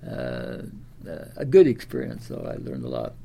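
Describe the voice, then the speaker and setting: A very old man from Iowa, ninety years of age, recalls his life in a gravelly, mellow voice. He rambles along with fillers and restarts. Faint room ambience sits behind him. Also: very deep voice, wildly swooping pitch, normal speed, a little hiss or hum. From Des Moines, Iowa. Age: 90s. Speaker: interviewee. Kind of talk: oral history interview.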